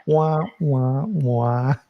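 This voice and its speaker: A man's voice: mid-range voice, very slow, muffled recording. Chatty, joking male webinar host. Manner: jokey, upbeat, chatty. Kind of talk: casual live webinar chat.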